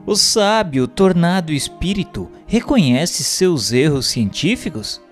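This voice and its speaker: A man's voice: a little high, big pitch swings, measured pace. A man reading a script as narrator and questioner, in a formal, declamatory way. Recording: long hall reverb. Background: faint music.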